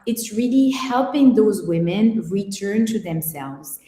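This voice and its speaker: A woman from Morocco speaks in a mellow, relaxed tone, measured and reflective, pausing often as she answers questions. Her voice sits in an echoey room with a long reverb tail. She talks as a guest on an interview. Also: medium pitch. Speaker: guest image consultant.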